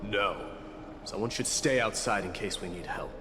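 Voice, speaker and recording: A man's voice: fairly low pitch, animated, in a large hall, noisy background, close to an everyday voice. A man playing a grave, courteous warrior lord in a video game, speaking in a formal, commanding and protective way. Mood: resolute. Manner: measured, formal, authoritative.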